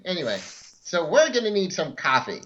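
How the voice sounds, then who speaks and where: Guy playing a tabletop game, really swingy pitch, muffled mic w faint noise. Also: very animated, moderate pace, bright, forceful tone. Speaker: player. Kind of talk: casual chat during a tabletop game.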